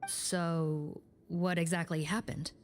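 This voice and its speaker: A woman's voice: lowish voice, little pitch movement, slow pace, soft background music. Formal, skeptical female investigator. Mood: polite, skeptical. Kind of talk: video game voice acting.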